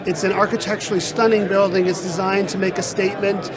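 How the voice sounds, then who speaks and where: A man speaking as a real estate sales director in an interview, with measured, polished sales talk, on a busy conference floor. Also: high voice, flat delivery. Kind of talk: interview at a trade event. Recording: loud crowd chatter behind. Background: crowd chatter.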